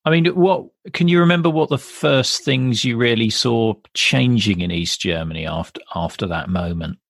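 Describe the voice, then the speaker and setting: A man's voice: mid-range voice, moderate pace, vocal fry, no echo, studio quality. A man hosting a podcast interview, asking inquisitive questions in a conversational, tentative way. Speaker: podcast host interviewing a guest.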